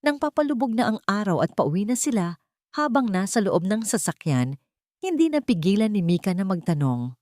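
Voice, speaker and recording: A woman narrating a scripted romance story in an expressive, dramatic manner. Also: medium pitch, moderate pace, dry recording, studio quality.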